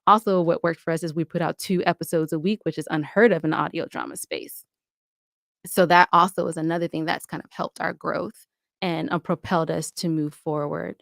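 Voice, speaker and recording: A woman's voice: low-pitched, fairly even intonation, a little fast, vocal fry, fairly bright tone, no room sound, studio quality. A woman talking conversationally as a podcast guest, explaining things with enthusiasm and emphasis. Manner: enthusiastic, emphatic, explanatory, often checks with "right?". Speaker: podcast guest, audio drama producer. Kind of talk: conversational interview talk.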